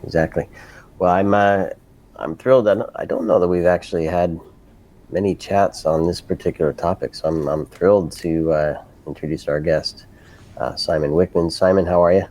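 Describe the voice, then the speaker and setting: Deep, mellow male podcast host. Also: steady tone. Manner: halting, full of "uh" fillers and repeated words. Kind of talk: casual interview chat.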